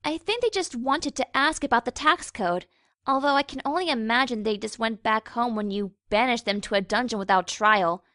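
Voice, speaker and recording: A woman's voice: fairly high pitch, normal speed, a bit bright, no room sound, studio quality, close to an everyday voice. A woman voicing a sensible young female pony who reasons wearily and corrects others in a dramatic story reading. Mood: dry, corrective. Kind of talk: dramatic fan fiction reading.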